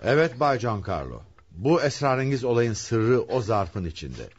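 An actor playing a confident, probing police commissioner with a husky voice and an authoritative manner in a radio play. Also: male voice, mid-range voice, very animated, moderate pace, dull audio. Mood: assured, explanatory. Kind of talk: dramatic radio play acting.

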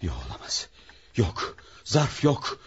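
A man's voice: slow, dull audio, a little hiss or hum, lightly acted. An actor playing a shaken, frail and desperately anxious older father in a dramatic radio play. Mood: panicked.

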